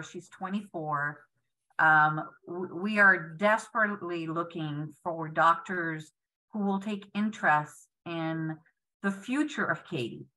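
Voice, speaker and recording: Woman in her 50s on a webcam call from home, bright clear tone, earnest and a bit halting with lots of ums. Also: fairly low pitch, low-quality recording. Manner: earnest, with many fillers and restarts. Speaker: patient foundation president. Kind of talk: video call panel speaking. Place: home room indoors. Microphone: webcam on video call.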